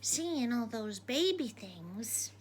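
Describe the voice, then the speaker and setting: A woman's voice: a little high, big pitch swings, takes it slowly, slight background noise. A woman voicing a cheeky, polite woman burglar in a storybook reading, chatty and genteel.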